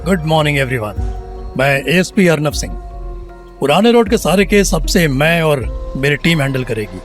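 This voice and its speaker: A man's voice: on the high side, sing-song, normal speed, soft background music. A man acting a suave, commanding police officer in his twenties in an audio drama, formal and mixing English into his speech. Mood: brisk, authoritative. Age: young adult.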